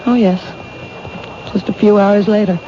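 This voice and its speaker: A frightened, timid witness, played by a woman with a soft, faltering voice. The old radio broadcast sounds dull and is thick with hiss. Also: mid-range voice, fairly even intonation, a little slow, natural voice. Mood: recounting. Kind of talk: 1970s radio drama acting.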